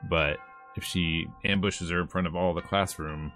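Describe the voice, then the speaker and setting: A man with a very low voice plays through a visual novel. He narrates the text casually and comments on it in a wry, informal way. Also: fairly even intonation, moderate pace, fairly bright tone, soft background music. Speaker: gaming streamer.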